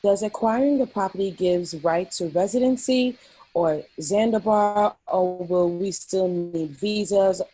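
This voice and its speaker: A woman's voice: medium pitch, a little slow, dark tone, no echo, rough recording. A woman moderating a webinar, politely thanking the guest and reading out audience questions on a video call.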